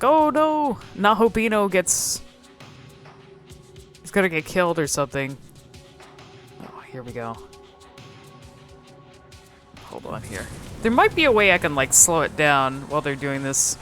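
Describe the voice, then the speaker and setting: A woman's voice: on the low side, sing-song, unhurried, pauses often, fairly bright tone, soft background music. A woman hosting casual, unscripted game commentary, musing and thinking aloud in a hesitant way. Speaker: video host exploring game cutscenes.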